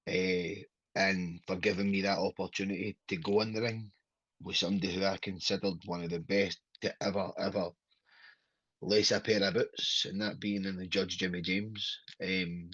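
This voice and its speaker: A low-voiced, husky man in his thirties with a strong Glaswegian accent talks as a guest on a podcast. He rambles and swears casually, and he keeps asking whether his listener follows him. The audio sounds dull and lo-fi, with a dry, close feel. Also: flat delivery, moderate pace, a bit bright, no room sound. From Scotland. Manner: rambling, sweary, keeps asking "do you know what I mean". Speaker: pro wrestler guest.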